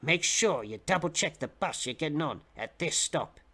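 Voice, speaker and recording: A man's voice: a little high, expressive, sharp tone, husky, full character voice. Man putting on an eerie, intense old drifter. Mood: ominous warning. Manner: slow, conspiratorial, ominous.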